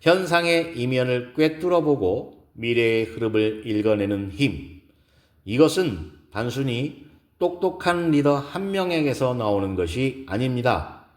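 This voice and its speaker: A man's voice: mid-range voice, echoey room, voice pushed up, natural voice. A man voicing a poised woman executive who gives an earnest, formal lecture in a scripted audio drama. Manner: formal, deliberate, rhetorical.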